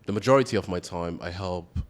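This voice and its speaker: A man's voice: low-pitched, a little fast. A man from Austria, a strategic advisor, talking casually as a podcast guest and acting out voices in his stories. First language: German. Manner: animated storyteller, acts out voices in anecdotes.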